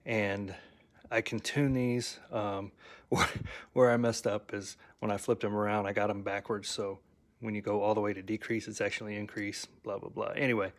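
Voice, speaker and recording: Rambling low-voiced man explaining his speakers. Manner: rambling, self-correcting, with frequent ums and stammered restarts. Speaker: DIY audio hobbyist showing his speaker build. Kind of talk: casual workshop show-and-tell.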